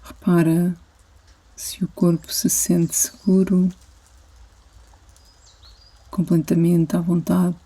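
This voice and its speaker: A woman with a European Portuguese accent guides a sleep meditation as a yoga instructor, soothing and gentle, with long pauses between phrases. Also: on the low side, level tone, breathy edge.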